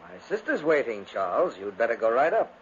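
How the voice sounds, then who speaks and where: An actor playing an unstable, sinister brother with melodramatic 1950s radio acting. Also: male voice, medium pitch, sing-song, fairly quick, natural voice. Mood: uneasy. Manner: melodramatic, swinging from curt to frantic. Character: unstable, sinister gothic brother, volatile and tormented. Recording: muffled, hissy 1950s radio recording.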